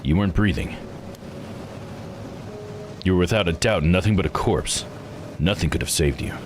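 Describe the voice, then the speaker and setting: A man voicing a blunt, plain-spoken man from a visual novel game, gruff and probing. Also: sits low, moderate pace, noisy background, lightly acted. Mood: stern, accusing.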